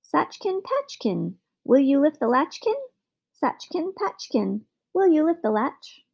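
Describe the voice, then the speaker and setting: A woman's voice: high voice, sing-song, slow, a little mellow, voice pushed up, heavily acted. A woman voicing a tiny, wistful green magic man in a storybook, with a thin, sing-song, pleading call. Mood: chanting, pleading. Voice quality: thin, clear, bell-like calling.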